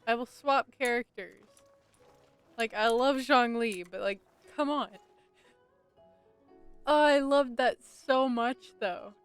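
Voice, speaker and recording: A woman's voice: on the high side, takes it slowly, long pauses, clean tone. A woman chatting casually and enthusiastically as she streams a game. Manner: gushing, enthusiastic. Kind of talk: casual livestream gaming chat. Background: game music.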